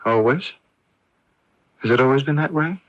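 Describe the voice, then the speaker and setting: An actor playing a gentle, troubled young son in a radio drama, hesitant and stumbling over repeated words, haunted and unsure of himself. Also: male voice, medium pitch, moderate pace, dry recording, dull audio, close to an everyday voice. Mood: cautious probing. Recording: band-limited 1980s AM radio broadcast.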